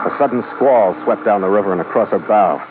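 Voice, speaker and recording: An actor playing a tough, sardonic American ship captain with an American accent, narrating with dry wit in an old radio drama. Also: male voice, fairly low pitch, fairly quick, background noise, close to an everyday voice. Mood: wry, grim. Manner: hard-boiled, dry wit. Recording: tinny old radio recording with music.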